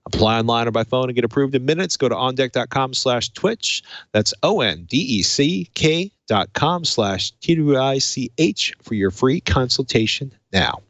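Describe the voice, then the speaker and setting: A man's voice: mid-range voice, lively intonation, moderate pace, fairly bright tone, dry recording. A man hosting a tech podcast, talking through hardware and reading in a presenter's way. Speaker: podcast host. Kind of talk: tech podcast talk and host-read sponsor spots.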